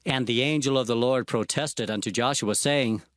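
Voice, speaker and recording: A man's voice: mid-range voice, moderate pace, natural voice. A man playing an earnest, awed prophet who recounts his visions reverently and expressively as narrator of dramatized scripture. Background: faint music.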